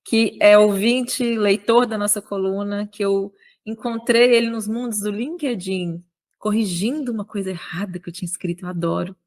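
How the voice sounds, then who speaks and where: A woman's voice: mid-range voice, steady tone, a little mellow. A woman hosting an interview, speaking in a friendly, chatty way. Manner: friendly, chatty, with quick laughs. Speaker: host, lawyer and columnist. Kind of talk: casual interview chat.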